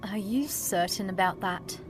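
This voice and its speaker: A woman's voice: medium pitch, measured pace, music in the background, natural voice. A woman playing a sceptical, questioning adventurer's companion in a scripted audio drama. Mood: sceptical, confused.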